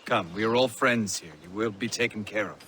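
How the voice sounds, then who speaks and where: A man's voice: fairly low pitch, a little fast, very noisy, lightly acted. An actor playing a composed, fatherly British army colonel, formal and reassuring, in a cinematic video game.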